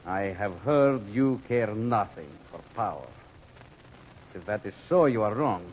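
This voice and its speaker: Megalomaniac scientist villain, radio serial: grandiose, cruel, declamatory. Dull, slightly noisy vintage sound. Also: male voice, fairly low pitch, expressive, measured pace. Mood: grandiose, scheming. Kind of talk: old-time radio serial acting. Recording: muffled old radio recording with noise.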